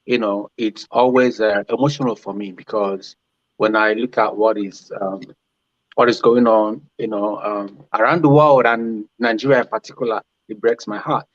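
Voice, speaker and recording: A man who is a priest, speaking on a panel in a halting way with many fillers. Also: on the low side, fairly even intonation, no echo, muffled recording. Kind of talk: panel discussion remarks.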